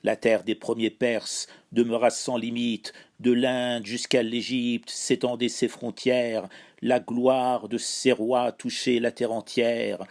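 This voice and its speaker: A man recites epic poetry in a solemn, declamatory way. His delivery is very unhurried, with a gravelly creak in a mid-pitched voice. Each phrase is measured out and set apart by pauses.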